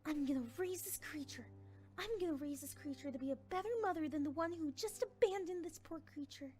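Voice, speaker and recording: A woman voicing a gentle, motherly pegasus pony mare in a storybook reading, timid, tender and fretful. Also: high-pitched, fairly quick, voice pushed up, lightly acted. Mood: earnest resolve. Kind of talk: storybook character voice in fan fiction reading.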